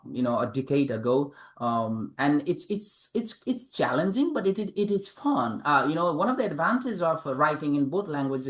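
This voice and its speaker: Hesitant man with a Nepali accent. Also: medium pitch. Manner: hesitant, many fillers and repeated words. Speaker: interviewed author and professor. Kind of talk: interview answers.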